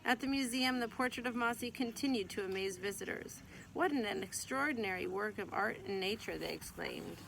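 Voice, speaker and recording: A woman reads a picture book to young children, drawing out words for expression. Her voice sits at an average pitch for a woman. It is a homemade recording with clear background noise. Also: lively intonation, fairly quick. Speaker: teacher reading aloud to young children. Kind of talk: picture-book read-aloud.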